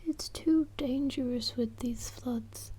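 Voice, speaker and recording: A woman whispering the part of a worried older farm woman in a storybook, slow and urgent. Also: high voice, mellow, breathy edge, full character voice.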